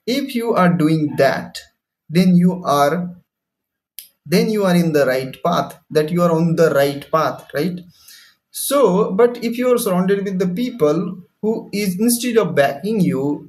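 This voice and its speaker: A man's voice: high voice, a little mellow, poor audio. A young man whose first language is Hindi, giving an earnest, repetitive motivational English lesson from a ground-floor room at home. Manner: earnest, repetitive, addresses viewer directly. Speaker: English teacher. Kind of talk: motivational talk to camera.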